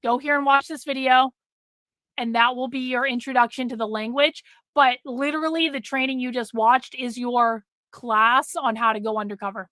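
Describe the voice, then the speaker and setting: A woman's voice: high voice, steady tone, moderate pace, bright, forceful tone, no room sound. A middle-aged woman hosting a livestream, talking bluntly to her chat, swearing freely and repeating herself for emphasis while losing her voice. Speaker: livestream host. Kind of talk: casual livestream talk to chat.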